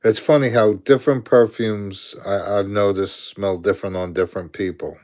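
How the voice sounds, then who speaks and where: A man's voice: on the low side, steady tone, normal speed, darkish tone. A man in his sixties from Brooklyn, New York, with a Brooklyn accent, chatting casually on a live stream, answering viewer comments aloud and chuckling often.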